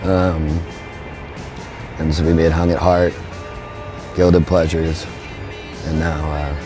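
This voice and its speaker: A young man, a band's frontman, talking in a relaxed, rambling way with lots of fillers. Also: rumbling low, slow, over music. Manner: laid-back, rambling, with frequent fillers. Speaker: band frontman. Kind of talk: relaxed interview talk.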